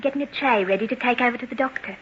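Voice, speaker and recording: An actress playing a respectful, deferential household maid in an old-time radio drama. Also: female voice, on the high side, racing, fairly bright tone, natural voice. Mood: dutiful, hushed. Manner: dutiful, respectful. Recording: dull, noisy old radio recording.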